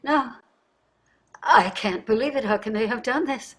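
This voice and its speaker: A woman's voice: a little high, unhurried, fairly bright tone, breathy edge. A woman playing a distraught, guilt-ridden wealthy wife in a staged play reading, dramatic and emphatic. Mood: anguished, desperate.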